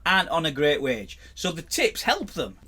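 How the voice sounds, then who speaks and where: A man's voice: a little high, wildly swooping pitch, normal speed, sharp tone, husky. A man, a podcast co-host and tattooist, chatting bluntly and often restarting his sentences. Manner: chatty, blunt, repeats words when starting sentences. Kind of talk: casual chat.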